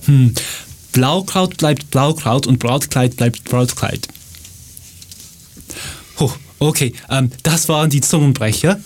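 A man's voice: medium pitch, takes it slowly, long pauses. A man hosting a radio show, presenting in a partly improvised way.

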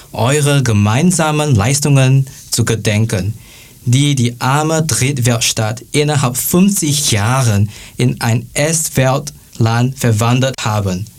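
A man's voice: mid-range voice, darkish tone. A man reading a scripted piece in learner German, stumbling over some words.

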